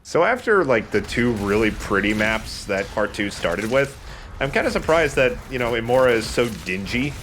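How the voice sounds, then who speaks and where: A man hosting a let's play, giving casual, expressive commentary as he plays a shooter. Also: mid-range voice, moderate pace. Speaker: let's play host, playing a shooter game. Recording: noticeable background noise.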